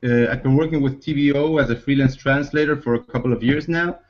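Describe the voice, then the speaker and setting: A man from Germany, native in German and Spanish, casually introducing himself as a translator on a video call, repeating words haltingly. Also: medium pitch, a little mellow. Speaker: freelance translator. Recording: dull online call audio.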